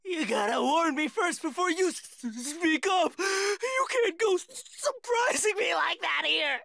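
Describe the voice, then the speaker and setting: A man's voice: extremely high pitch, lively intonation, a little slow, bright, forceful tone, dry recording, raised voice, exaggerated voice. A man voicing a cowardly, whiny teenage boy swordsman in an anime dub, tearful and strained, wailing and stammering. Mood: indignant panic.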